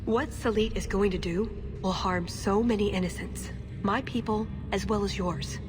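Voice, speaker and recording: A woman's voice: medium pitch, normal speed, natural voice. A woman playing a wry, earnest young elven agent in a video game. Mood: pleading. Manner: flippant and wry, turning earnest.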